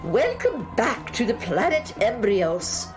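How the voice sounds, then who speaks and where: A woman's voice: fairly high pitch, wildly swooping pitch, takes it slowly, full character voice. An actress playing a ceremonious, reverent female prime minister of an alien planet in a children's sci-fi radio play. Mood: reverent welcome. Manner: ceremonious, stately, effusive. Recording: music clearly behind the voice.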